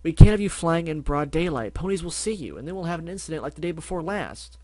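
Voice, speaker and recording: A woman's voice: low-pitched, lively intonation, quick pace, mellow, dry recording, pitched above the performer's own voice, low-quality recording. Stern bookish unicorn lady, a guy doing her, firm and in charge. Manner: firm and commanding. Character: bossy studious purple unicorn mare.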